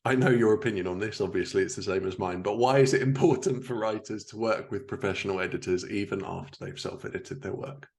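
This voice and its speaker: A man's voice: on the low side, quick pace, vocal fry, few pauses. A man hosting a podcast, chatting casually as he puts questions to a guest. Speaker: podcast host, interviewer. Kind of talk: casual interview chat.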